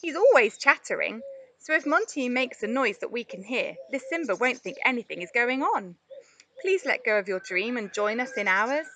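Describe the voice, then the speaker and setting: A woman's voice: on the high side, lively intonation, moderate pace, fairly bright tone. A woman voicing a shy, caring tortoise with a hesitant, tactful manner in a storybook. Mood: reassuring, pleading. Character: shy but caring tortoise, gathering courage.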